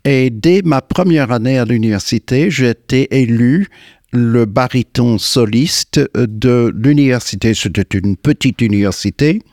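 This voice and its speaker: An older American man, a singer and guest, answering in French in a slow, hesitant way with many fillers and restarts. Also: mid-range voice, a little mellow, dry recording, clean studio sound. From United States. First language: English. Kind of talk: radio interview. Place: radio studio.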